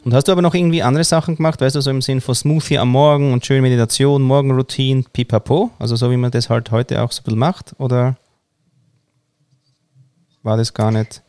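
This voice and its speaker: A man's voice: mid-range voice, fairly even intonation, fairly quick, mellow, clear, resonant tone, dry recording. A man interviewing a guest in a curious, encouraging, lightly joking manner. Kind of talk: casual conversational interview.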